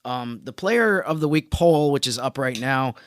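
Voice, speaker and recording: A man with a very clear, smooth voice of middling pitch. He co-hosts a casual sports show, explaining his points in an agreeable, measured way. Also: expressive, no echo, studio quality. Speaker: sports podcast co-host.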